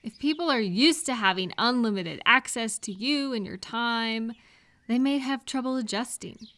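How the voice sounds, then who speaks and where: A woman playing a kind, caring friend in a comfort roleplay audio, speaking gently and reassuringly with soft questions. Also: fairly high pitch, moderate pace, close to an everyday voice. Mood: warm encouragement. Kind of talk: comfort audio roleplay, one-sided conversation.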